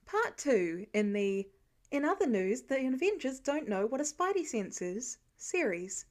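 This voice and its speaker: A woman reading fan fiction aloud as scripted, expressive storytelling. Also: high-pitched. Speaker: podfic reader.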